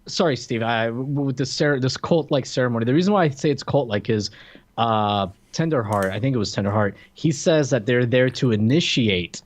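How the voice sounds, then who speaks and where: A man's voice: mid-range voice, normal speed. A man co-hosting a podcast, reviewing a film in casual chat with a joking, mock-conspiratorial manner. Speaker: podcast co-host.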